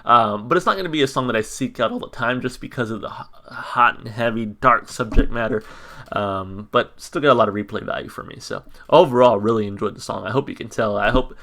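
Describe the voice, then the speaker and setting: A man reviewing a song on his channel in a casual, monotone way, full of ums and restarts. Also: medium pitch, a little fast. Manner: hesitant, many ums and restarts. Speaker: music reaction YouTuber. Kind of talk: casual reaction commentary.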